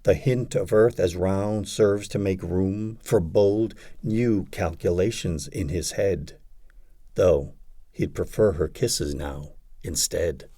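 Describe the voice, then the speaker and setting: A man reading poetry aloud as an audiobook, in a dramatic recitation with measured, deliberate phrasing and pauses. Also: low-pitched, warm tone. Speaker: audiobook reader.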